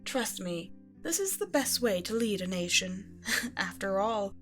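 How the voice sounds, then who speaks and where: A woman playing a proud, self-righteous evil empress who is a doting adoptive mother, telling a bedtime story in a measured, regal way in an ASMR roleplay. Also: mid-range voice, animated, a bit bright, soft background music. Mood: haughty, indulgent.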